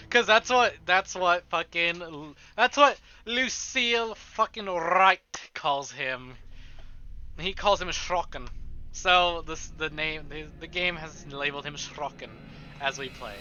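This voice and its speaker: High-voiced man narrating a visual novel. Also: expressive, takes it slowly, sharp tone, dull audio. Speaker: streamer reading a visual novel aloud. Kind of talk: dramatic first-person story narration with asides. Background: game music.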